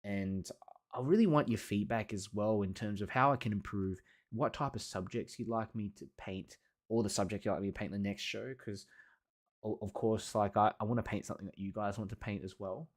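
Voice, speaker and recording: Male live workshop host: lowish pitch, informal, encouraging, hesitant delivery with many pauses. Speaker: watercolour teacher hosting a live workshop. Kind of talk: casual livestream chat reading and answering viewer comments.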